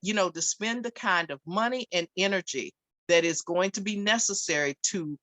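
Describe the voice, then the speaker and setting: A woman panelist in a debate, speaking deliberately and emphatically, repeating her phrases. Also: on the low side, fairly bright tone, no echo.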